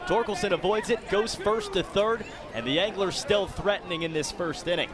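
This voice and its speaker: Guy doing color commentary at a game, voice on the high side, crowd clearly behind him. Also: normal speed. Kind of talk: sports color commentary, recapping plays. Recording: live stadium broadcast with clear crowd behind.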